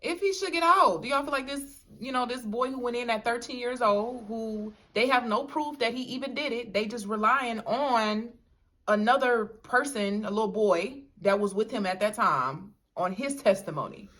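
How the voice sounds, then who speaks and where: A woman's voice: fairly high pitch, moderate pace, fairly bright tone. A young woman hosting a mukbang, chatting casually with her husband and laughing often as she talks to viewers. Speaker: mukbang host. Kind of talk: casual chat.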